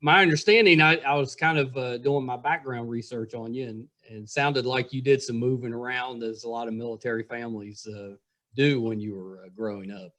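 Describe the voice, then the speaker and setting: A male podcast host with a middling pitch talks in a casual interview style. He comes across as effusive and openly admiring as he chats with his guest. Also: fairly even intonation, brisk, a bit bright.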